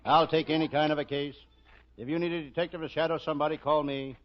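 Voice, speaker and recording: An American man playing a deadpan hard-boiled private detective, narrating a 1940s radio parody. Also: fairly high pitch, fast, lightly acted. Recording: noisy, muffled 1940s radio recording.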